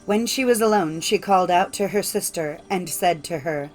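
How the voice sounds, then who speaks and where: A woman's voice: lowish voice, normal speed, faint music behind, rough recording. A woman narrating a scripted fairy tale as an audiobook, in a formal storytelling manner.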